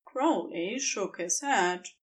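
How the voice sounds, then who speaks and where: A woman narrating a scripted story in a measured, expressive storytelling manner. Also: mid-range voice.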